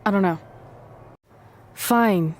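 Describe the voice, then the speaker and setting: An actress playing a tough, independent pro wrestler in a video game, snippy, curt and sardonic. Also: female voice, medium pitch, drawn-out words, a little mellow. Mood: guarded, snippy.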